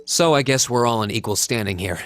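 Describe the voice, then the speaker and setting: An American man voicing an easygoing, modest teenage hero in a video game, with a relaxed, friendly manner. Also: medium pitch, fairly quick, no room sound, natural voice. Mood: calm, casual.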